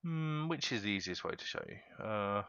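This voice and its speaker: Guy, low voice, chill chatty game tutorial, dry slightly lo-fi mic. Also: moderate pace, clean tone, no echo. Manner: relaxed, chatty, keeps addressing viewers as guys. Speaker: gaming tutorial host. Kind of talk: casual step-by-step game tutorial.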